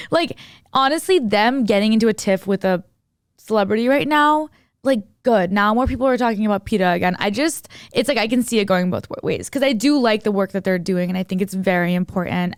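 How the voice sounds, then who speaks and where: A young woman co-hosts a casual podcast with a clear, smooth voice at an average pitch. Her talk is slangy and opinionated, full of conversational filler words like "like". The sound is close and dry, with no room echo. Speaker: podcast co-host.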